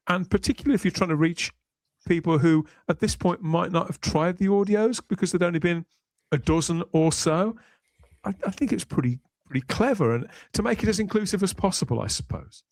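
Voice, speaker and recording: A man hosting a podcast panel discussion, talking in a measured, thoughtful way. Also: high-pitched, a little mellow, no echo. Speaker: podcast host. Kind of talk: panel discussion of a sci-fi series.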